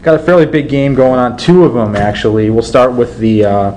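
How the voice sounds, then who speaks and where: A man's voice: mid-range voice, fairly even intonation, fairly quick, darkish tone, a little hiss or hum. A man hosting a sports talk webcast in a casual, chatty style.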